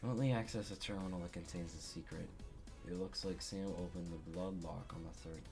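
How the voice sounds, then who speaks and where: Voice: low voice, fairly even intonation, brisk. A gamer in a casual, sweary let's play, reading game dialogue aloud and commenting, with game music behind. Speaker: gameplay streamer and voice actor of the game's text.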